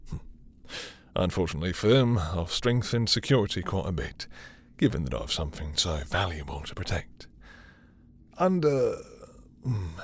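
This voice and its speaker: A man playing a possessive, dominant vampire lord in intimate roleplay audio, with a husky, breathy voice and a teasing, seductive, condescending manner. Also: deep voice, full character voice. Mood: cold, smug. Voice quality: husky, breathy, dropping into whispers.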